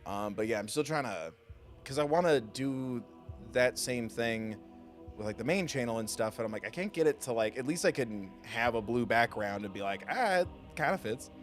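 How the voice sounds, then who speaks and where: A man hosting a video game livestream, chatting casually and haltingly with viewers in a self-deprecating, joking way. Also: medium pitch, expressive. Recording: music behind the voice. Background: music.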